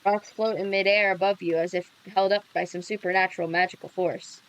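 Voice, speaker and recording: A woman's voice: on the low side, fairly even intonation, a little fast, rough recording. A woman livestream host reading a visual novel aloud, with playful casual reactions. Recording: background music under the voice. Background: music.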